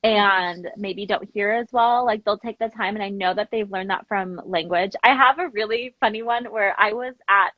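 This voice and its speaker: A woman's voice: medium pitch, normal speed, hardly any pauses, no room sound, highs cut off. A woman chatting informally as a guest in a casual interview, telling stories and acting out bits of dialogue. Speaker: interview guest, language program founder. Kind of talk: casual live interview chat, animated storytelling.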